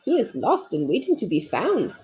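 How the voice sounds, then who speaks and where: A woman's voice: mid-range voice, wildly swooping pitch, moderate pace, darkish tone, slight background noise, low-quality recording. A woman voicing a kindly male newsstand owner in a friendly, reassuring way for a storybook.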